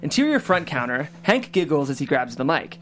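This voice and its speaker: A man's voice: fairly high pitch, big pitch swings, brisk, a bit bright, soft background music. A man reading scripted stage directions as the narrator of a table read.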